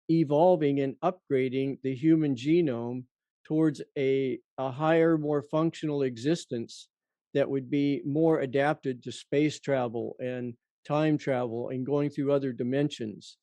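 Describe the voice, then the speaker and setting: A man's voice: medium pitch, steady tone, a little slow, dark tone, no echo. A man interviewing in a conversational way, musing earnestly and feeling his way through his questions.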